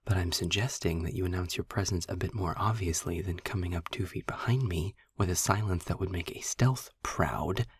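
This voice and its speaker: Husky, sardonic demon, acted by a man. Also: no echo. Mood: dry, exasperated. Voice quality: husky, breathy, gravelly low voice. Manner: dry, sardonic, articulate, deliberate. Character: sarcastic, weary male demon, long imprisoned, dryly eloquent. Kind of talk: audio roleplay, intimate slow monologue to the listener.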